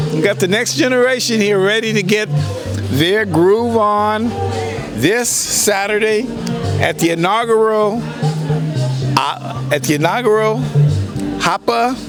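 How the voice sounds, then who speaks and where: Playful high-voiced man over loud music. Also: vocal fry. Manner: playful, coaxing. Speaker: event host interviewing youths. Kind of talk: upbeat on-the-spot interview.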